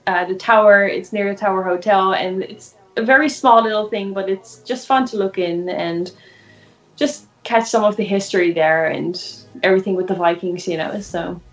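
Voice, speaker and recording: A woman's voice: medium pitch, moderate pace, highs cut off, soft background music. A Dutch woman in her thirties with an Irish accent, chatting casually as a guest illustrator on a video call, laughing often. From Netherlands. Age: 30s. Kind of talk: casual chat.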